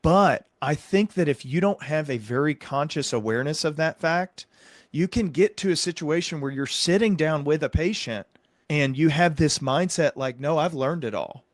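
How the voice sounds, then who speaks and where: Earnest man explaining, slightly higher voice. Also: animated, moderate pace, no room sound. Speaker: psychiatric provider and podcast host. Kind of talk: conversational interview explanation.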